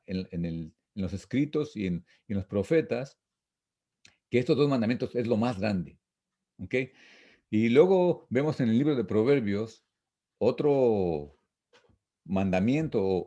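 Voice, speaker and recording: A man teaching an informal Bible lesson, reading and explaining verses in a repetitive, halting, didactic way. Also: fairly low pitch, dark tone. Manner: didactic, repetitive, halting with frequent false starts.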